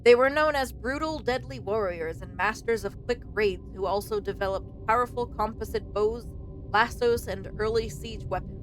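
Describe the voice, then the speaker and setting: A woman streaming a let's play, reading game text aloud and commenting in a casual, informal way. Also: poor audio. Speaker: streamer playing and reading a visual novel. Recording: clear rumbling background noise.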